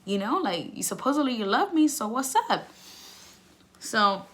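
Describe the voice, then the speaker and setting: A woman's voice: fairly high pitch, big pitch swings, measured pace. A young woman vlogger telling a personal story in casual, candid, slangy speech with swearing, acting out past conversations as she goes.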